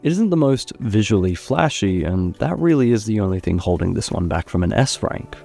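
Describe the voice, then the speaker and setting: A man hosting a gaming video, narrating a review in an expressive, opinionated way into a close mic. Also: fairly low pitch, a little fast, gravelly. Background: background music.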